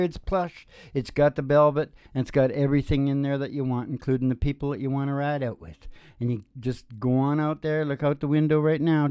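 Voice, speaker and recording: A middle-aged man with a dark, mellow voice rambles through improvised stage banter. His delivery is folksy and halting, with sentences that trail off, and the sound is close and dry. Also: fairly high pitch, smooth, no room sound. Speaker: podcast host and singing DJ. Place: small indoor stage with curtain backdrop. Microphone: stand microphone, close.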